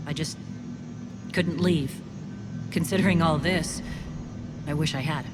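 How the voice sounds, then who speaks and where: A guarded, principled female soldier in a video game. Her delivery is measured and earnest, echoing with long reverb under loud music. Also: low-pitched. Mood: guarded, regretful. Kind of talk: cinematic video game acting.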